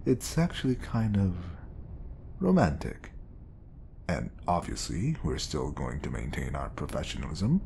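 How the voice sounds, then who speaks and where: A man playing a smooth, scheming, obsessive bodyguard who feigns polite professionalism in an audio roleplay. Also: low-pitched, huge pitch swings, measured pace, darkish tone, slight background noise, full character voice. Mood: coaxing.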